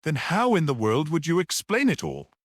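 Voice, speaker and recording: Curious young man played by a male reader, animated. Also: fairly high pitch, normal speed, no echo, clean studio sound, voice pushed up. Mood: demanding. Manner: probing, wry. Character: curious, insistent young man questioning a priest.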